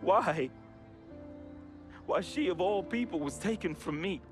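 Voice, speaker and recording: A man's voice: high voice, lively intonation, unhurried, over music. An actor playing a troubled young man in a game, reading a confessional farewell letter as a heartfelt voice-over with casual slang. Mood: somber. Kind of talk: emotional voice-over monologue. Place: studio voice-over.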